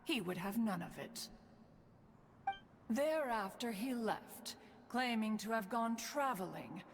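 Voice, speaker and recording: A woman's voice: on the high side, lively intonation, takes it slowly, slight background noise. A woman voicing a haughty ancient female crane spirit in a game cutscene, speaking in a stately, archaic way. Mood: measured. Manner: stately, archaic phrasing, refers to herself as "one". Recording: game audio with long reverb and soft music.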